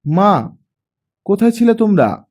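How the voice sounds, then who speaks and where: A man's voice: high-pitched, very animated, measured pace, a little mellow, voice pushed up, exaggerated voice. A man voicing an eager, chirpy young daughter in an audiobook.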